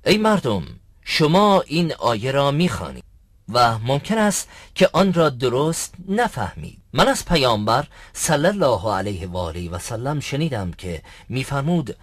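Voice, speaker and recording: A man's voice: medium pitch, no room sound, clean studio sound. A man voicing a patient, learned mosque sheikh in an educational cartoon, speaking formally and instructively. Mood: solemn teaching. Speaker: sheikh teaching boys. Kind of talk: dubbed educational cartoon acting.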